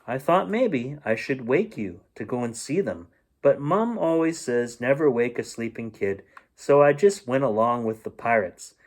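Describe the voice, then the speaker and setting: A man reading a children's storybook aloud in an expressive, playful storytelling manner. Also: medium pitch, measured pace. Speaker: bedtime story reader.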